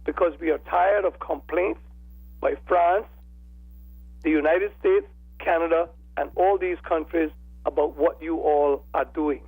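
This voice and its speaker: Croaky older man phoning in. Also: a little high, steady tone, darkish tone, no echo. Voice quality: croaky, raspy. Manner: deliberate, formal, oratorical phrasing. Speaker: radio phone-in caller. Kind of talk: measured political commentary. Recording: telephone call into radio show.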